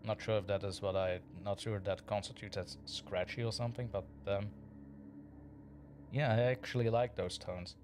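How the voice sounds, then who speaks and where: A man's voice: lowish voice, fairly even intonation, normal speed, a little mellow, a little hiss or hum. A man hosting a livestream, chatting casually and playfully with his audience and reading their comments aloud, often chuckling. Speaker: livestream host reading chat.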